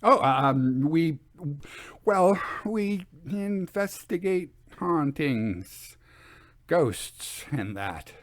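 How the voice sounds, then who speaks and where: A man's voice: a little high, expressive, very slow, no echo, pitched above the performer's own voice. A man voicing an eager, awkward woman ghost investigator with a flustered manner. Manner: flustered, hesitant.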